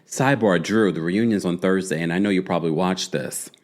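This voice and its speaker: A man hosting a casual live recap of a reality show, gossipy and animated, with quick asides to his chat. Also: deep voice.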